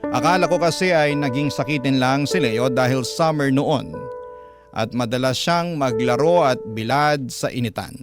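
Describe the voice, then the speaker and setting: A man hosting a radio program, reading a letter as dramatic, emotive narration with deliberate delivery. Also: mid-range voice, music in the background. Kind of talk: dramatic scripted narration in classic radio style.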